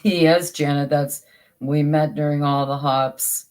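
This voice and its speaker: A livestream host with a soothing voice, chatting casually with viewers while crafting, halting and restarting often. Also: medium pitch, fairly even intonation, darkish tone. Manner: slow and halting, with many repeated starts.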